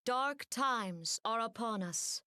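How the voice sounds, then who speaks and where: Dignified fantasy stateswoman: high voice, slow measured oratory, dry recording, earnest and grave. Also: expressive, bright, forceful tone, no echo, exaggerated voice. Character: solemn, dignified female leader addressing her grieving people. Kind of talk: formal ceremonial speech, scripted fantasy game acting.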